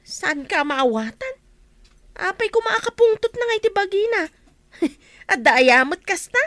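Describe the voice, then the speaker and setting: A woman acting as a gossiping village neighbour with a very high voice, recorded dry and close. She chats, exclaims and pries in the manner of an Ilocano radio comedy. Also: very animated, measured pace, fairly bright tone, dry recording, heavily acted. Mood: prying. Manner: chatty, exclaiming, probing.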